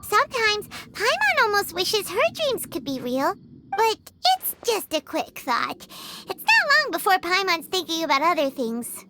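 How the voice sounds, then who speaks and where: An actress voicing a small, chatty, excitable fairy companion in a video game who talks about herself in the third person. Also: female voice, unhurried, very noisy, heavily acted. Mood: musing. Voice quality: high squeaky childlike voice. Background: game music.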